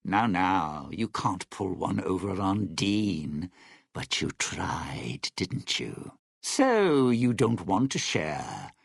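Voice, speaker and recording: An actor playing a sly, bitter, scheming lounge singer in a video game, with a raspy, gravelly voice and a wry, smooth-talking, theatrical manner. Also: male voice, low voice, big pitch swings, drawn-out words, no room sound, clean studio sound, full character voice. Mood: menacing, mocking. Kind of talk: video game character dialogue.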